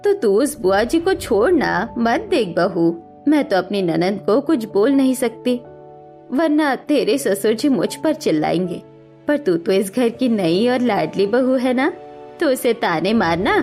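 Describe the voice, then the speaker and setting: A woman's voice: on the high side, animated, unhurried, darkish tone, soft background music, pitched below the performer's own voice. A woman voicing a scheming, scornful middle-aged mother-in-law in a cartoon, scolding melodramatically. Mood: conniving.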